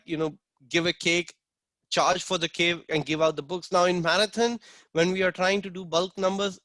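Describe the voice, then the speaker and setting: A man's voice: high-pitched, fairly bright tone, dry recording. A man teaching an online class, earnest and instructive, acting out short street dialogues as examples. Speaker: book distribution instructor.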